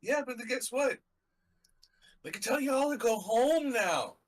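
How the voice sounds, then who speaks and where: A man's voice: very high-pitched, lively intonation, measured pace, recorded from a distance. A man in his thirties co-hosting a live hockey reaction stream, rambling playfully and searching for words. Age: 30s. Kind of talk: casual banter.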